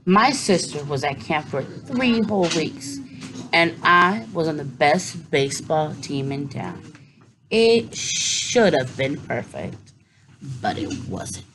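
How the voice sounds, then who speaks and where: Woman, teacher, children's book read-aloud, playful asides, low voice, animated, hissy recording with clicks. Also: slow. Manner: expressive, with playful asides to young listeners.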